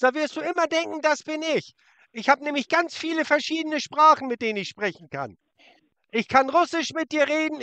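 A man with a very high voice mocks a scammer on the phone, taunting and throwing insults while he talks over him. His tone is bright and sharp. The sound is close and dry. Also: no room sound. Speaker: prank caller baiting a scammer. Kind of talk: mocking phone prank.

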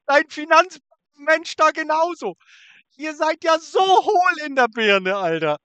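A man with a high, bright, sharp voice mocks and taunts a caller in crude terms. He is on a prank phone call from his car in a forest. The sound is dry and close. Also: animated, takes it slowly, no room sound. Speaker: scam baiter.

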